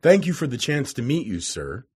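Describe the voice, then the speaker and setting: Audiobook role: young journalist read by a man, well-mannered bluffer, animated intonation, husky voice. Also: mid-range voice, normal speed. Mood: polite, meek. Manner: polite, bluffing. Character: polite young male journalist posing as a student. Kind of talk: audiobook character voice.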